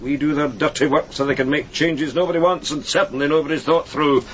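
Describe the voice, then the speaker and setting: An actor playing an affable, weary senior police officer, chatty and dryly wry, in a radio drama. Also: male voice, fairly high pitch, fairly even intonation, a little fast, a bit bright, a little hiss or hum, close to an everyday voice. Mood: affable, apologetic. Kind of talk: broadcast radio drama acting.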